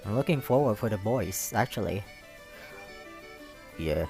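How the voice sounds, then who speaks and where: A man's voice: on the low side, animated, unhurried, a little mellow. A man doing a casual visual novel let's play, reading aloud and reacting with cheeky, playful asides and often repeating himself. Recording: music playing behind the voice. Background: music.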